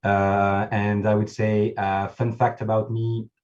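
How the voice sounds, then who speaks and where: French guy, low voice, muffled mic, careful english with some uhs. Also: little pitch movement, slow pace, a little mellow, recorded from a distance. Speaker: accelerator scientist. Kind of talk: casual self-introduction. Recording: dull muffled sound.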